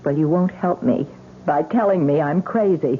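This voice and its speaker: An actress playing a stubborn, exasperated young newlywed wife in a radio drama. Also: female voice, sits low, normal speed, natural voice. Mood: sharp, defiant. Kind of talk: 1970s radio drama acting. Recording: tinny, noisy old radio broadcast.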